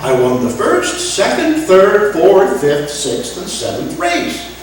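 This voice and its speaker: A woman playing an excitable, penniless music maestro with a gambling habit, theatrical and wisecracking in an old-time radio sitcom. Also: mid-range voice, slow. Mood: eager. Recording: echoey, distant stage recording, faint noise.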